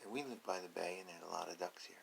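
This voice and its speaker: A man's voice: deep voice, quick pace, creaky, slight background noise. A man reading a picture book aloud to young children, adding playful, simple explanations in casual asides.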